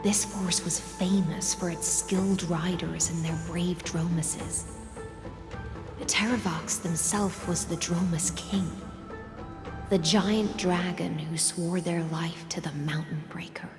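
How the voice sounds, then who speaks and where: Slow, solemn warrior woman, echoing. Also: on the low side, fairly even intonation. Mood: grave, measured. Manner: measured, solemn, formal. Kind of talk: dramatic video game acting. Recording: echoey long reverb, music behind.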